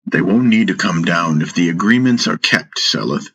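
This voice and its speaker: A man voicing an earnest, peace-minded young warrior who argues deliberately, in a fantasy audiobook. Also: low voice, lively intonation, a bit bright, slightly rough, highs cut off, full character voice. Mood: correcting.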